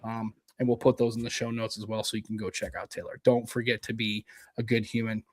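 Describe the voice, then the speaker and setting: A man's voice: on the low side, level tone, brisk. A middle-aged man co-hosting a podcast, chatting casually, joking and swearing as he rambles. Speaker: podcast co-host. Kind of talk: casual chat.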